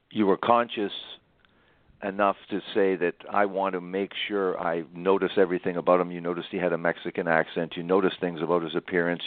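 A man with a low voice hosts a conversational interview over a narrow, phone-like connection with little room sound. He recaps and prompts deliberately, repeating phrases to stress them. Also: dry recording. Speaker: interviewer, host.

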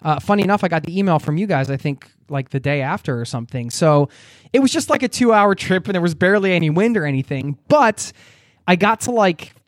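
A man's voice: high voice, expressive, a little fast, no echo. A man hosting a podcast interview in a casual, chatty way, laughing often and using plenty of fillers. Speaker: podcast host.

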